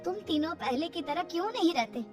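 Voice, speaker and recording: Woman as a chiding, high-voiced middle-aged mother. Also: animated, a little fast, over music. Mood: hurt, firm. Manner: chiding, reasoning. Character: middle-aged mother, firm, concerned peacemaker.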